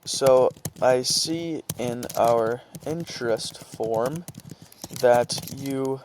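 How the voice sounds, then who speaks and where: A man presenting an informal screen-share tutorial, talking haltingly as he thinks aloud and reads out what he types. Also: medium pitch, darkish tone, slight background noise, rough recording. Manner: halting, thinking aloud while typing and reading out script.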